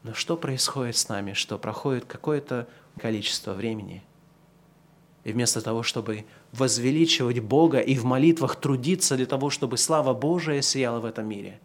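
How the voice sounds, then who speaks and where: A man preaches in a middle-range voice, and he draws out his key phrases slowly and loudly. Between those high points he drops into a softer, chatty tone and talks straight to his listeners. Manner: swings from slow, loud emphatic preaching to quieter conversational asides. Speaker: pastor. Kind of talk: sermon.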